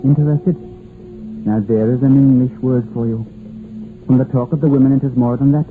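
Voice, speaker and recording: An actor playing a blunt, gloomy Welsh village coal miner in a 1950s radio drama, speaking in lilting dialect phrasing. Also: male voice, medium pitch, fairly even intonation, fairly quick, music in the background, close to an everyday voice. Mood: grim, insistent. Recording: muffled, faintly noisy old radio recording.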